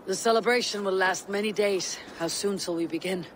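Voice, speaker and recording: An actor playing a steady, loyal Viking warrior and clan leader, calm and direct, in a video game. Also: male voice, high-pitched, brisk, a little hiss or hum. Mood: calm, resolute. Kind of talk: dramatic video game cutscene acting. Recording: music behind the voice.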